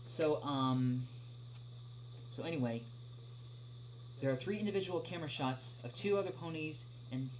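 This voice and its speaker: A woman hosts an informal livestream table read. She speaks haltingly, stumbling over words as she moves between reading and chat. The recording has noise and a hum. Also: low-pitched, animated, darkish tone. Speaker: livestream host. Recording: noisy home livestream with hum.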